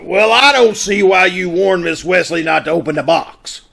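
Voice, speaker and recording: A man's voice: high voice, moderate pace, creaky, a bit bright, exaggerated voice. A man playing a blustering, sarcastic police inspector in 1940s radio detective drama style. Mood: skeptical. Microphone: video call.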